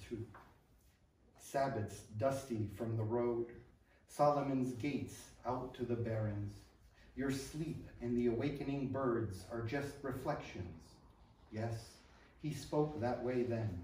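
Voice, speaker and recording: A middle-aged American man stands in a library hall and reads poems in a measured way after a halting, filler-laden introduction. The mic sits some way off, so his voice carries a roomy echo. A faint room hum lies underneath. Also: medium pitch, darkish tone. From upstate New York, USA. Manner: hesitant, filler-heavy remarks, then measured reading. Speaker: poet and translator. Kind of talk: poetry reading. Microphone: room mic from a distance. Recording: echoey room, distant mic.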